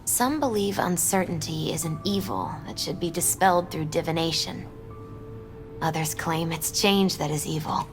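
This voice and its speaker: A young female fortune-teller in a game, with a slightly low voice. She is earnest and mystical, choosing her words in a measured, solemn way. Light music runs beneath her. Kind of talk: naturalistic video game acting.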